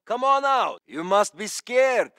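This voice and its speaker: Forceful, cynical soldier. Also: male voice, very high voice, lively intonation, takes it slowly, smooth, no room sound, studio quality, heavily acted. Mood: coaxing. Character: gruff, cynical male mercenary soldier. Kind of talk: video game dialogue.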